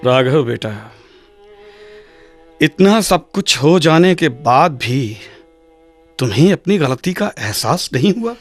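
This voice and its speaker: A slow, earnest father. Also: fairly high pitch, expressive, faint music behind. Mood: grave, reproving. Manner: measured, earnest, admonishing. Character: patient, principled father, gently moralising and grave. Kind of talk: radio drama acting.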